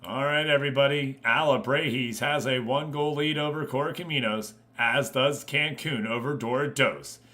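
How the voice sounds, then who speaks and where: A man's voice: mid-range voice, normal speed, few pauses, pushed and bright. A man hosting a live game stream, giving casual commentary and chatting informally with viewers. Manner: informal, conversational, addressing viewers directly.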